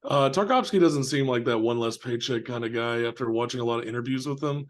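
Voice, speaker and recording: A man's voice: mid-range voice, quick pace. A man co-hosting a casual film discussion, very informal and slangy, leaning often on filler words. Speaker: film podcast co-host.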